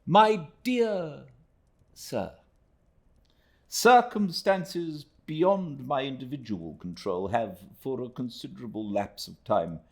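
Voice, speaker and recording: A man voicing a pompous, florid, self-pitying middle-aged gentleman, reading out a letter with grand swells and theatrical drops in his voice. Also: medium pitch, expressive, slow, pitched above the performer's own voice. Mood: pompous lament.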